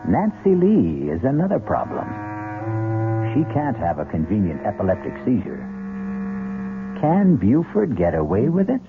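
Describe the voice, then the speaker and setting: A man hosting and narrating an old-time radio mystery with wry, measured storytelling. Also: medium pitch, wildly swooping pitch. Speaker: host and narrator. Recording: lo-fi phone-like old radio broadcast with music.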